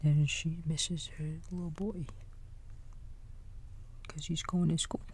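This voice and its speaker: A man in a soft breathy whisper, gently and soothingly reading a bedtime story like a big-brother babysitter, with casual asides. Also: a little high, little pitch movement, slow, slight background noise.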